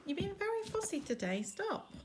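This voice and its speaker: A woman's voice: very high-pitched, sing-song, normal speed, noisy background. A woman narrating a children's story expressively, like a teacher, with chatty asides to her listeners. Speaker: teacher reading a picture book.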